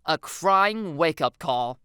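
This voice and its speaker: A woman acts out a sarcastic teenage girl in an audio drama. She is bossy and exasperated yet soft-hearted, with very lively intonation and stern, quippy delivery. The voice is clear and close-miked in a dry space. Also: on the low side, brisk, dry recording. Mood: grudging, wry.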